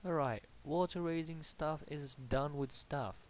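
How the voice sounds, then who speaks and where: Young man, Bulgarian accent, let's-play chatter, unhurried, faint hiss on an awkward home mic. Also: fairly high pitch. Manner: rambling, swears at enemies and slow allies, playful. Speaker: gamer commentating his play. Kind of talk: casual let's-play commentary. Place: at home at a computer. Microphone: awkwardly placed desktop mic.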